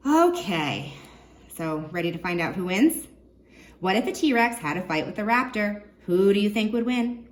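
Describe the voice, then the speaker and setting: Expressive woman reading aloud to children. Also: mid-range voice, moderate pace. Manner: expressive, engaging listeners with questions. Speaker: children's librarian. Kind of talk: children's read-aloud with asides and questions to young listeners.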